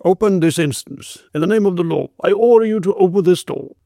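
Gruff police constable, put on by a man. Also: on the high side, expressive, fast, darkish tone, dry recording, clean studio sound. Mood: commanding, loud. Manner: commanding, scolding, growling. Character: gruff, stern male police constable, blustering but soft-hearted.

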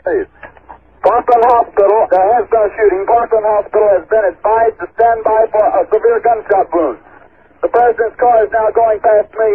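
A man's voice: sits high, fairly even intonation, moderate pace, breathy edge, no room sound, phone-quality sound. A man giving urgent, breathless live commentary on an archival radio broadcast. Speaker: live reporter.

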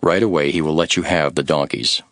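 A man playing a calm, authoritative religious teacher in a solemn, declarative way, in a dramatized scripture recording. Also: a bass voice, brisk, no room sound, studio quality. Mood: calm, instructing. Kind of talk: dramatized Bible audio.

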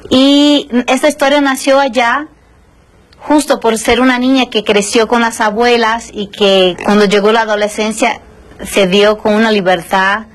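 Woman, mid-pitched, interview guest. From Brazil. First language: Portuguese. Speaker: interview guest, film director. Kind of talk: conversational interview answers.

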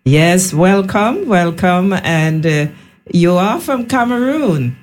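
A woman hosting a radio panel in the studio, unhurried and full of fillers. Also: lowish voice, expressive. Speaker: radio host.

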